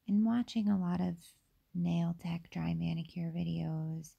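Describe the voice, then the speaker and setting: A young woman with a slightly raspy voice from feeling unwell, casually talking through a nail tutorial with many fillers and asides. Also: on the low side, steady tone, a little slow, highs cut off.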